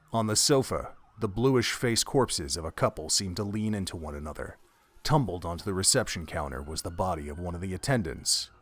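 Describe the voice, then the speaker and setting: Low-pitched male narrator of drama. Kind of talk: dramatic scripted audiobook-style narration.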